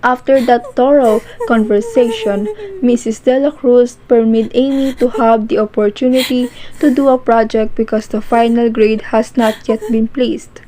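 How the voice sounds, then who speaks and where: A woman's voice: on the high side, steady tone, normal speed, dark tone, slight background noise, poor audio. A woman giving scripted narration for an amateur cartoon story.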